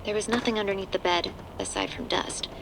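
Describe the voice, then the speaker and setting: A woman's voice: medium pitch, steady tone, fairly quick, vocal fry, noisy background. A woman narrator reading a scripted horror story for an audio drama.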